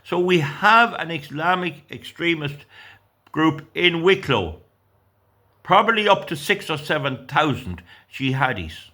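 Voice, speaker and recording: A man's voice: on the high side, expressive, a little slow, bright, forceful tone. An Irish man in his sixties who reads out news items and rants about them indignantly, rambling and tripping over his words. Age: 60s. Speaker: political commentator. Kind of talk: reading out news and ranting.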